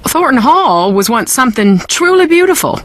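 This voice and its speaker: Animated, weary middle-aged mother. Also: high-pitched, moderate pace, dry recording. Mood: bitter, anguished. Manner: folksy, wry, wounded. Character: weary, bitter wealthy mother of missing daughter. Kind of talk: video game dialogue. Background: faint music.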